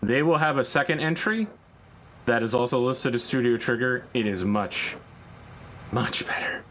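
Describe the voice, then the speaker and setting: A man chatting casually on a gaming stream, eager to explain and quick to swear. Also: medium pitch, fairly bright tone, slight background noise. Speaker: streamer and co-host. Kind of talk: casual chat while gaming.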